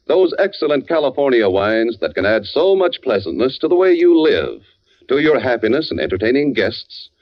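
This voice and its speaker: A man's voice: medium pitch, no room sound. A dry, muffled old radio recording of a man selling as a commercial announcer. His polished 1940s delivery swings animatedly up and down. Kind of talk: 1940s radio advertisement read. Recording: dull vintage radio broadcast.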